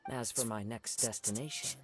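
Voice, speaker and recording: A man voicing a calm, poetic young wandering swordsman in a video game, soft-spoken and formal. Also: mid-range voice, moderate pace, over music, natural voice.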